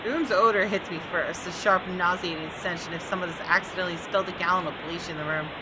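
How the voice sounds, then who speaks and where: A woman's voice: fairly low pitch, fairly even intonation, fast, noisy background. A woman hosting a gaming video, reading visual novel text aloud and reacting expressively.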